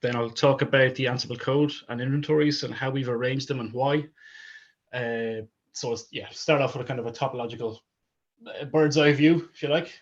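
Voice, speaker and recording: A man's voice: mid-range voice, fairly even intonation, brisk. Man presenting, dull lo-fi call audio. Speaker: technical presenter, DevOps consultant. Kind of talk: informal technical presentation. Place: online video call.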